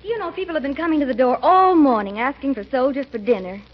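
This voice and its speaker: A woman playing a lively young niece in a 1940s radio comedy. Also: high-pitched, fast talker, natural voice. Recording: dull old radio recording.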